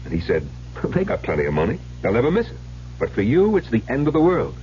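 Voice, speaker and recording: An actor playing an educated middle-aged husband, speaking in a measured, confessional, theatrical way in an old-time radio drama. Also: male voice, mid-range voice, wildly swooping pitch, darkish tone. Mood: grave, reminiscing. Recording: dull, noisy old radio recording.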